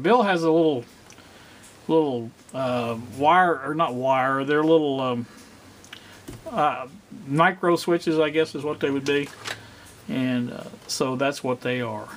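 A middle-aged man giving a casual, unscripted demonstration, halting and rambling as he thinks aloud. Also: medium pitch, a little hiss or hum. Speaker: hobbyist presenter. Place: indoor home workspace.

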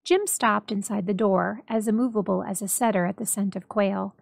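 A woman narrating a story dramatically, with expressive, measured storytelling. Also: medium pitch, creaky, no room sound, studio quality. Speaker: library staff story reader.

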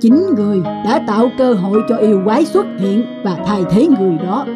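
A woman's voice: medium pitch, animated, a little fast, music in the background, lightly acted. A woman playing a stern, wise goddess of love in a cartoon, solemn and admonishing. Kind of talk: Vietnamese cartoon dialogue.

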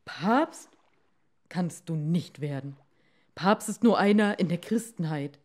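A woman acts out an anxious fisherman husband from a storybook. He is henpecked and pleading, with slow, hesitant speech. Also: on the low side, expressive, darkish tone. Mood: anxious, reluctant.

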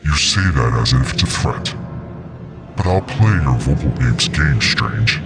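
An extremely low, menacing demon lord for a comic book dub. He is haughty and sardonic, drawing out his taunts with theatrical relish. Loud music plays beneath him. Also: animated, unhurried. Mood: mocking, amused.

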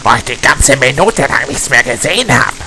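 Ein Mann spielt einen knarzigen, versoffenen Schnüffler, lebhaft. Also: male voice, medium pitch, animated, normal speed, creaky, pushed and bright, soft background music, exaggerated voice. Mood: agitated, plotting. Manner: grumbling, rambling, colloquial. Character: boozy, crude, grumbling male small-time detective. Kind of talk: hobby comic audio drama acting.

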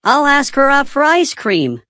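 A woman voicing a whiny, earnest little schoolboy in a scripted cartoon, speaking simply and deliberately. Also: sits high, very animated, bright, forceful tone, dry recording, studio quality, exaggerated voice. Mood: sulky. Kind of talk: slow scripted English-learning cartoon dialogue.

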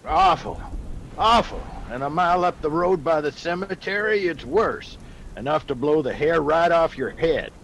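Man as a gravelly old sergeant major. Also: a little high, animated, normal speed, a bit bright, a little hiss or hum. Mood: exasperated. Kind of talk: radio drama acting.

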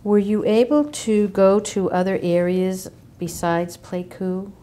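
A woman asking questions as an oral history interviewer, measured and attentive. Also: mid-range voice, fairly even intonation, dark tone.

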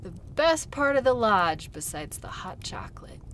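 An actress plays a friendly female dog sled guide in an audio role play. She speaks slowly and gently, with a caring, teasing manner and a mid-pitched voice. There is some background noise around her. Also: sing-song. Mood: warm, apologetic.

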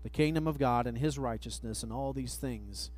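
A middle-aged man preaching a sermon at a church pulpit mic, reading scripture slowly and letting phrase ends trail off. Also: mid-range voice, a little mellow. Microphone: pulpit microphone, far camera.

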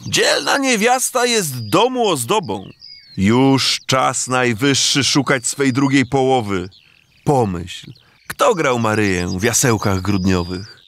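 An actor playing a jovial, teasing village neighbour in a rhymed children's radio play, with playful theatrical exclamations. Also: male voice, mid-range voice, lively intonation, slow pace, a bit bright, a little hiss or hum. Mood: coaxing. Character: teasing, jovial village neighbour man urging a bachelor to marry.

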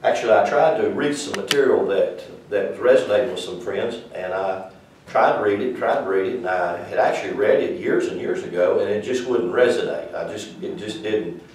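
A man's voice: fairly low pitch, brisk, a little mellow, slight background noise. An older man with a Southern US accent, teaching a church class in a rambling, folksy, conversational way. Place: small church classroom, indoors. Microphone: far camera. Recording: echoey room from far off.

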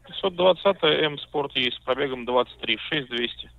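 A man's voice: mid-range voice, normal speed, gravelly, dry recording. A man working as a used-car salesman, answering in a patient, businesslike way that turns mildly argumentative. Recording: tinny phone line.

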